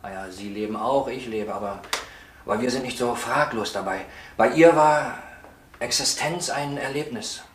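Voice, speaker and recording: An actor plays a defensive, emotional man in a crime radio play. He speaks with halting fervour at a medium pitch. The old recording is echoey, with some faint noise. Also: close to an everyday voice. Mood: earnest, fervent. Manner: halting, fervent, pleading for understanding. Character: defensive, emotional male former drug counsellor, sociologist. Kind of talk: German crime radio drama acting.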